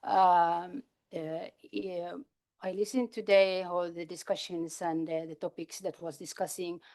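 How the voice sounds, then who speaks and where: A woman's voice: on the low side, flat delivery, measured pace, a little mellow. A woman born in Somalia who grew up in Finland, introducing herself on a panel in a friendly, informal way. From Somalia, raised in Finland. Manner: friendly, loose grammar, fillers.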